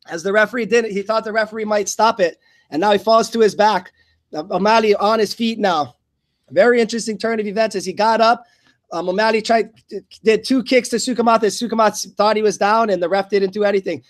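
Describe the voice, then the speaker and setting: A man doing live radio play-by-play of an MMA fight, somewhat halting, repeating and stumbling over words. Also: high voice, no room sound, clean studio sound. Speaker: play-by-play commentator.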